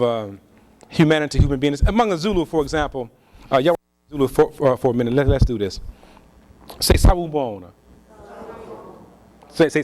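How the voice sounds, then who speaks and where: High-voiced man lecturing emphatically. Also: a little mellow. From Louisiana, USA. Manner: slow, emphatic, preacher-like delivery. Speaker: speaker at a community event. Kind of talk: lecture with call and response.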